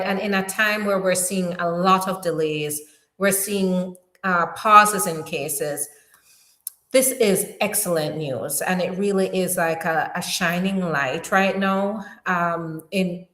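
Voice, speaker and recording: A woman's voice: medium pitch, sharp tone, reverberant. A woman immigration attorney with a strong Jamaican accent, hosting a live question-and-answer stream and explaining her points earnestly, often restating them.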